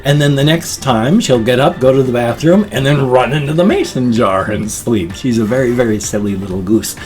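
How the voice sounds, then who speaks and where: A man's voice: medium pitch, moderate pace, a little mellow. A middle-aged American man chatting casually and off the cuff in a rambling, dorky vlog manner. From United States. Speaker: vlogger. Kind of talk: casual unscripted vlog chat.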